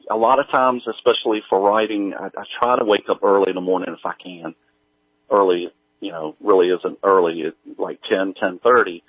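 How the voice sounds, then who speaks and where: A man talking casually as an interview guest, halting and hesitant as he explains things over a remote call. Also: on the low side, no echo. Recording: tinny, narrow phone-like line.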